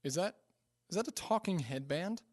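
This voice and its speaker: A man's voice: high-pitched, lively intonation, moderate pace, darkish tone, dry recording, studio quality. An actor playing a bewildered everyman inventor, hesitant, questioning and a bit clumsily flirtatious, in a sci-fi audio drama. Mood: startled.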